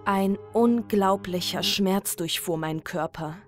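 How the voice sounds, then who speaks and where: A woman narrating a scripted first-person horror story in a measured, dramatic manner. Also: medium pitch, soft background music.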